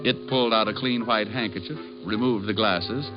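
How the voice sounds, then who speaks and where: A man's voice: lowish voice, fairly even intonation, normal speed, lightly acted. An actor playing a cocky, wisecracking American private detective in an old radio drama, wry and sardonic as he talks and narrates. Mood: wry narrating. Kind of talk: 1940s-50s radio detective drama acting, first-person narration. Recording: muffled old radio recording, music behind.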